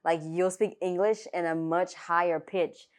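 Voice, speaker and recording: A young woman with a deep voice, chatty and agreeable as she co-hosts a casual chat. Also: fairly even intonation, measured pace, clean tone. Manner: chatty, agreeable, laughs often. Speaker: podcast co-host, former cheerleader.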